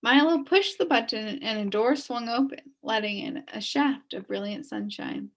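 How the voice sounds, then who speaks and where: A woman reading a children's story aloud as an expressive narrator. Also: a little high, normal speed. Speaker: storybook reader.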